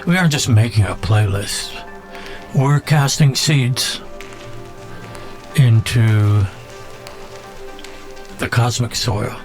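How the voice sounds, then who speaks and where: A man talks in a slow, casual monologue with a mid-range voice. He muses whimsically and pauses as he goes, like a host thinking aloud, with soft music behind him. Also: mid-range voice. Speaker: contest organizer and show host.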